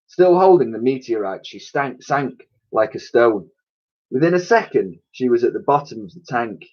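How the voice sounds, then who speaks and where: A man's voice: medium pitch, expressive, normal speed, lo-fi sound. A man reading a children's story aloud like a teacher, with theatrical, suspenseful narration and emphatic sound effects spoken by mouth.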